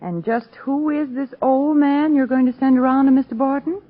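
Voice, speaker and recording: A woman's voice: sits high, normal speed, narrow, tinny audio, natural voice. An American woman playing a pert, teasing detective's companion in 1930s radio drama, with arch, bantering delivery. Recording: thin, band-limited 1930s radio recording.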